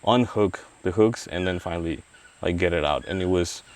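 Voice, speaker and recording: A man from Mexico speaks English, his voice sitting a little low. He talks in a halting way, with restarts and fillers. He was recorded outdoors in the forest, with faint rain and noise behind him. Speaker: wildlife veterinarian. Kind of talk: casual interview. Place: outdoors in a rainforest release site.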